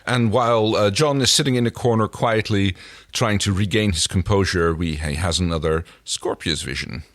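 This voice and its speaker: A man with a low voice co-hosts a casual recap podcast. He speaks haltingly, hunting for words, and the recording is dry with no room sound. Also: a bit bright, no echo. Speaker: podcast co-host. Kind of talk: casual episode recap chat.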